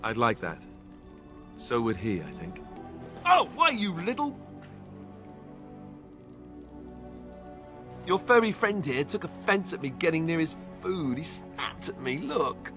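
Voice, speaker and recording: An actor playing an awkward, earnest young warrior in a fantasy video game, speaking in a hesitant, self-effacing, conversational way. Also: male voice, on the high side, wildly swooping pitch, moderate pace, a bit bright, faint music behind. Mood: apologetic, subdued, then indignant. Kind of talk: video game cutscene acting.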